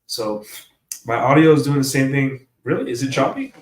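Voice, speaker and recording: A man's voice: medium pitch, big pitch swings, moderate pace, slight background noise, poor audio. A man with a stuffed-up nose from a cold, talking in a rambling, casual livestream monologue and often checking in with "right?". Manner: rambling, keeps asking "right?". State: congested from a cold. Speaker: streamer. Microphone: desk mic through an audio interface.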